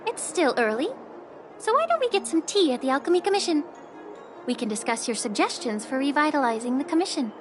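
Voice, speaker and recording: Gentle young game investigator girl, high polite voice, music under it. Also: sing-song, a little fast. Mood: grateful, friendly. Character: polite, thoughtful young female alchemy investigator. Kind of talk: video game story dialogue. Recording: music behind the voice.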